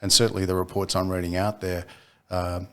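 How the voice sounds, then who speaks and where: A deep-voiced man speaks as a CEO guest on a podcast. He talks in a measured, unhurried way, with plenty of ums and repeated words as he works through his points. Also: little pitch movement. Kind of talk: casual business chat.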